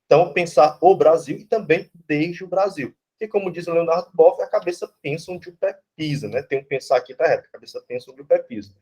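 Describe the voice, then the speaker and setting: A man's voice: on the high side, quick pace, hardly any pauses, darkish tone, slightly rough. A Brazilian man, a philosophy professor, lecturing informally and bluntly, often checking in with "né?" and "tá certo?". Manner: conversational, tags lines with "né?" and "tá certo?"; blunt, casually swears.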